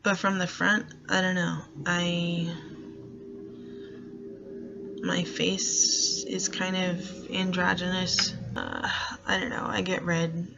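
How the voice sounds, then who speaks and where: Young man with a soft feminine-sounding gravelly voice, slow. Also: high voice, completely flat delivery, dull audio, background noise. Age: young adult. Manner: reflective, hesitant, searching for words. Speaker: college student and grocery worker. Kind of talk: personal documentary monologue.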